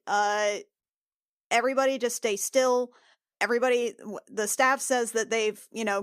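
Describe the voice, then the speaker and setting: A woman playing a flustered, weary noblewoman healer in a tabletop game, talking in a halting, apologetic way. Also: a little high, clean tone, no echo, studio quality, lightly acted.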